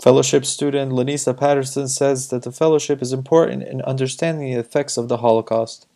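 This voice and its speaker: A man's voice: medium pitch, fairly even intonation, fast talker, mellow. A man narrating a scripted news report as a reporter.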